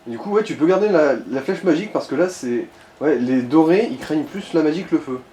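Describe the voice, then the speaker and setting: A man's voice: on the high side, expressive, fairly quick, mellow, echoey room. A man chatting casually and slangily as he gives tips to someone playing a game. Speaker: co-commentator advising the player. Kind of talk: casual gaming chat, giving tips.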